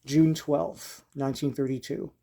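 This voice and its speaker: Older man, dark mellow voice, musing casually. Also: fairly high pitch, fairly even intonation, gravelly. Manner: halting, musing, informal. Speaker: host leafing through vintage comic strips. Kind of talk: casual, unscripted show-and-tell commentary.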